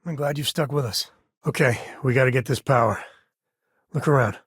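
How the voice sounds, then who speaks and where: A man performs a rugged, warm-hearted frontier ranger and devoted dad from a video game. He talks in a folksy, laid-back way with dry wit. His mid-pitched voice has a husky edge and is recorded very dry. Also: moderate pace, dry recording, close to an everyday voice. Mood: sincere. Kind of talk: video game voice acting, companion dialogue.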